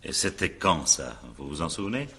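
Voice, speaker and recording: An actor playing a sceptical examining magistrate in a radio drama, questioning formally and insistently with pointed pauses. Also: male voice, rumbling low, very animated, sharp tone, breathy edge, exaggerated voice. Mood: dry questioning.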